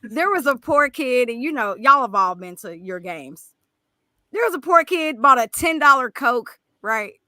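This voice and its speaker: Bright, animated woman telling a story. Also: fairly high pitch, unhurried, no room sound. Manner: animated storytelling, many fillers. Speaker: podcast co-host. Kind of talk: casual podcast chat.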